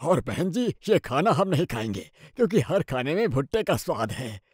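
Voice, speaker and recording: A man voicing a blunt, fussy middle-aged family man in an animated story, emphatic and dramatic. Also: fairly high pitch, normal speed, breathy edge, no echo, clean studio sound. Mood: indignant. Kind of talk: animated Hindi moral-story dubbing.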